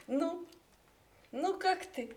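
Worried loving mom, high voice, coaxing, old soviet radio drama. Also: female voice, lively intonation, extremely slow, a little mellow, full character voice. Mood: anxious, coaxing. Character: anxious, affectionate mother coaxing her grown son. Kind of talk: Soviet radio play acting.

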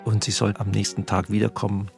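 A man thinks back on a past stage production, speaking in a musing, hesitant way. His voice is low, flat and gravelly, with clear music playing behind him. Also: brisk. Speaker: interviewee recalling a theatre production. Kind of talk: reflective spoken recollection.

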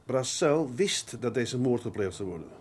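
A man acting in a radio drama as a seasoned police detective who lectures his younger colleague in a measured, pedantic way. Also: medium pitch, expressive, a little mellow, husky. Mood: firm, reasoning.

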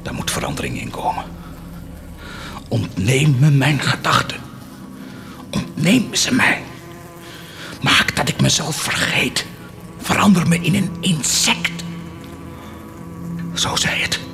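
A man's voice: on the high side, huge pitch swings, takes it slowly, creaky, pauses often, heavily acted. An actor playing a proud, tormented, brooding man in a theatrical radio drama, moving between muttering and anguished outcries. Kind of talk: stage-like radio drama acting.

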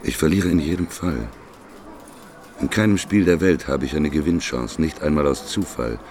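A man's voice: rumbling low, moderate pace, a little mellow. A man acting an enigmatic, stubborn prophet in a radio play, speaking laconically and in riddles. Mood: calm, fatalistic. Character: enigmatic prophet, calm stubborn man who gambles with paradoxes.

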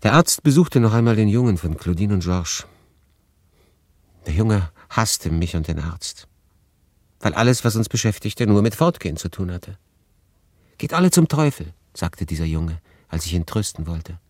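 A man's voice: low-pitched, expressive, moderate pace, a little mellow, no echo, studio quality. An actor playing a melancholy, detached male refugee who narrates his story in a pensive, understated radio drama style. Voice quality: husky.

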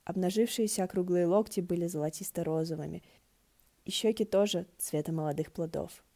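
A woman's voice: on the low side, steady tone, brisk, warm tone. A woman narrating a story as an audiobook reader. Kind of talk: narrating fiction, acting out dialogue.

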